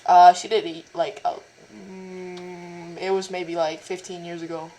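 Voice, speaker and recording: A woman with a lowish voice questions a stranger over a video chat. She rambles, restarts her sentences, and leans on filler words. A faint hiss sits under the call. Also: flat delivery, unhurried, mellow, low-quality recording. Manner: rambling, stumbling, with many likes and restarts. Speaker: interviewer, cosplayer. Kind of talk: casual online video chat.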